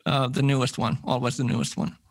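Man, mid pitch, relaxed, laughs easily, casual interview guest. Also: fast. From Finland. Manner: relaxed, laughs easily, detailed gear talk. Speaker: guest musician.

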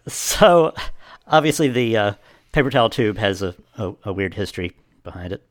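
A man co-hosting a podcast, casually retelling a story step by step in a halting way. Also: on the low side, expressive, no room sound, clean studio sound. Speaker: podcast co-host. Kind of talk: casual plot recap.

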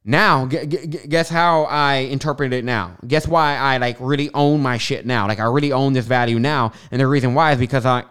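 A young man talks into a podcast microphone with a clear lisp on his S sounds. His voice is smooth and resonant, sitting at an ordinary middle pitch. He rambles casually, with plenty of fillers and side tangents. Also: brisk, few pauses, fairly bright tone. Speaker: podcast host. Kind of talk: casual spiritual monologue. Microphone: studio microphone.

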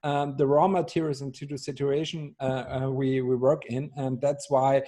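A man's voice: medium pitch, level tone, normal speed, dark tone. A man in his thirties from Austria with an Austrian German accent, speaking English as a guest on a video call, hesitant with many fillers and restarts and a dry sense of humor. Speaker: brewery ambassador, guest. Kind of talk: casual interview over video call.

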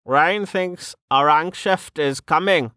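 A woman's voice: deep voice, slow pace, fairly bright tone, no room sound, voice pushed up. A gloomy, fatalistic man acted by a female narrator. He drawls with resignation in an audiobook reading, the sound dry and close. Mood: blunt. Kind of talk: audiobook character acting.

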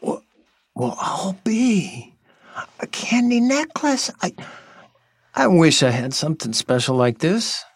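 Slow, swooping, dreamy odd handyman. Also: sits high, sing-song, slightly rough, no echo, full character voice. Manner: earnest, halting, childlike wonder, deferential. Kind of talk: intimate audio drama acting.

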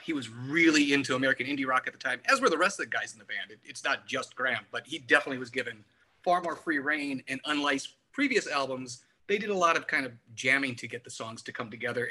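Casting: male music podcast co-host, piercingly bright with a rough edge, informed and explanatory. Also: medium pitch.

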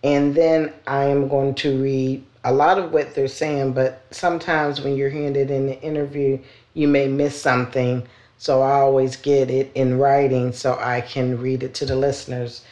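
A woman hosting her own podcast, talking in a loud, chatty way while trying to keep her voice down. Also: rumbling low, level tone, normal speed, roomy sound. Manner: loud, chatty, trying to speak more softly. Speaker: podcast host. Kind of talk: casual podcast talk.

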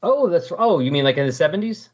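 A middle-aged man with a slightly husky voice hosting a casual comic book discussion in a chatty, explanatory way. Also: on the high side, sing-song, quick pace. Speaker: podcast host. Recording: dull lo-fi voice recording.

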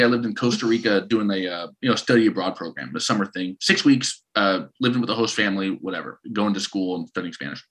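A man in his thirties co-hosting a casual podcast, telling stories in an animated, rambling way and often restarting his sentences. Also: lowish voice. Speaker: podcast co-host.

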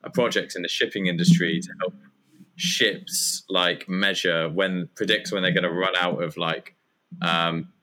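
Guest, male, thirties, very low voice, loose and hesitant delivery. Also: little pitch movement, measured pace, pushed and bright, poor audio. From Germany. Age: 30s. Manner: rambling, hesitant with many fillers. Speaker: guest, community founder. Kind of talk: casual interview chat.

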